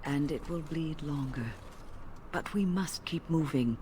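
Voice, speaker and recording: An actor playing a steadfast, consoling warrior leader in a fantasy video game, measured and earnest. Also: male voice, a little high, a little mellow, slight background noise, lightly acted. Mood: grave, resolute.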